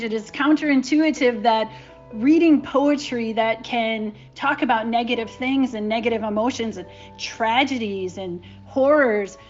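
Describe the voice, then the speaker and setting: A woman's voice: mid-range voice, muffled recording. A woman explaining thoughtfully and emphatically in a podcast commentary.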